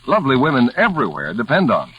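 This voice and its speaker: A man's voice: mid-range voice, huge pitch swings, fast talker. A male announcer delivering a scripted advertisement in 1940s radio style. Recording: muffled vintage radio recording, faint noise.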